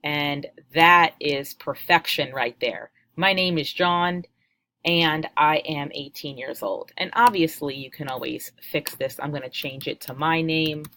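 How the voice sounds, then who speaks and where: Low-voiced teacher lady in her 40s, chill upbeat coding walkthrough. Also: slow pace, fairly bright tone. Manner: patient, step-by-step, upbeat. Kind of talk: screen-share coding tutorial.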